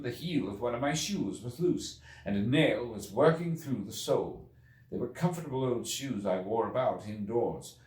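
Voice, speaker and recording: Man reading as an earnest Victorian gentleman adventurer, echoey. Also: mid-range voice, close to an everyday voice. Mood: reflective. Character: earnest Victorian gentleman time traveler recounting his ordeal. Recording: echoey room, distant from mic.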